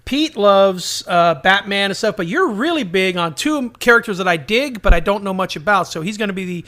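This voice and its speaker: High-voiced, jokey male podcast host. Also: animated, normal speed, bright, forceful tone. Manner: jokey, colloquial. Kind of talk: casual chat.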